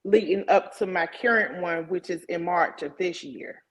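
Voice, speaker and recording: A woman promoter, a guest on a radio chat, explaining her programs politely and in a measured way. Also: fairly low pitch, poor audio. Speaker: event promoter.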